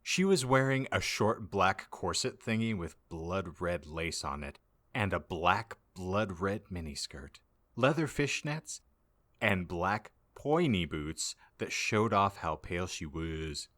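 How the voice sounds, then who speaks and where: Bright, slow-paced male comic narrator. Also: low-pitched, animated. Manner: reads garbled misspellings aloud as written. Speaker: podcast host reading as narrator. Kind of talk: comic dramatic narration of fan fiction.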